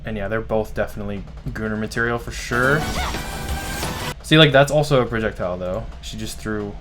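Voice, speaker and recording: A man hosting a live stream, reacting to a game trailer in casual, excited, rambling talk full of "like". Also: on the low side, brisk, over music. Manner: excited, rambling, heavy use of "like". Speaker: streamer host.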